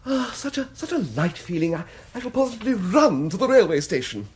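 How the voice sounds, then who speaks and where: A genteel, fussy English bachelor in a radio comedy, upper-class and deferential. The voice is high and flutters all over the scale, hesitant and eager to please, with faint noise behind. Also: male voice, sing-song, a little fast. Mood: giddy. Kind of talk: comic radio drama acting.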